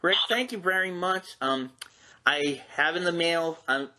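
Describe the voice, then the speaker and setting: A man's voice: on the high side, unhurried, sharp tone, low-quality recording. A man hosting a podcast, interviewing in a casual, folksy show-host way.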